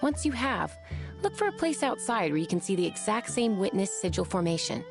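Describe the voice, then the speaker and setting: Wry unflappable innkeeper lady, expressive, game music behind. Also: medium pitch, a little fast, gravelly. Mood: discreet, composed. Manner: composed, wry. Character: composed, knowing female innkeeper boss, wryly unflappable. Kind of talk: video game voice acting.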